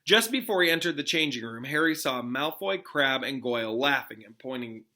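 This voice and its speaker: Man, teacher, very bright forceful voice, expressive storybook read-aloud, informal explanations, medium pitch. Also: normal speed. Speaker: classroom teacher reading aloud.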